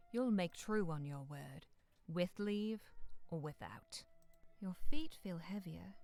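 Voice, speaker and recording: A woman narrating a dark winter fantasy visual novel as a solemn storyteller, in scripted literary style. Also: lowish voice.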